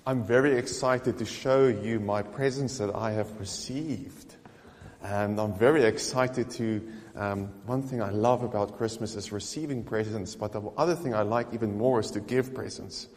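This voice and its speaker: A man's voice: lowish voice, normal speed, a little mellow, in a large hall. Eager man. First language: Afrikaans. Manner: eager, chatty, with small restarts. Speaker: vlogger. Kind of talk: casual chat showing gifts.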